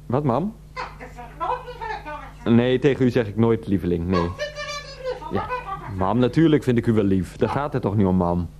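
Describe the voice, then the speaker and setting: An actor playing a henpecked grown son in a radio play, placating and halting as he talks to his mother. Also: on the low side, expressive, dull audio, background noise, lightly acted. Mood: flustered, placating.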